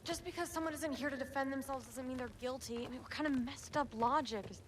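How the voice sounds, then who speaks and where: An actress playing a headstrong teenage daughter of the gods who talks in a defiant, eye-rolling way. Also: female voice, high voice, quick pace, a little hiss or hum, close to an everyday voice.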